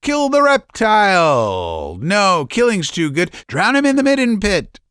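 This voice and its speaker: A man voicing an agitated young male asylum patient who is bitter and scornful, shouting and laughing mockingly. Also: high voice, huge pitch swings, unhurried, clean tone, no room sound, studio quality, heavily acted. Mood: furious.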